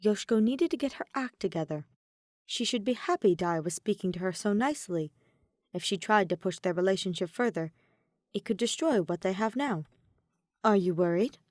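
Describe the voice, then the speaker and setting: Expressive woman narrating a story. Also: medium pitch, a little fast, no echo, clean studio sound. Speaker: audio fan fiction narrator.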